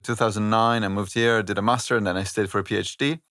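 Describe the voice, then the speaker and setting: A man in his thirties from Italy with an Italian accent, a podcast guest talking thoughtfully with many pauses and fillers. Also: on the low side, steady tone, a bit bright, no room sound, clean studio sound. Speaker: orchestra director, podcast guest. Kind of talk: conversational interview.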